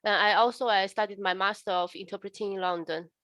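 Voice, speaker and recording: A woman's voice: mid-range voice, fairly even intonation, quick pace, a bit bright, dry recording. A woman from China with a Chinese accent, a native Mandarin speaker, talking casually as a guest interpreter. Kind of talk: casual self-introduction in conversation.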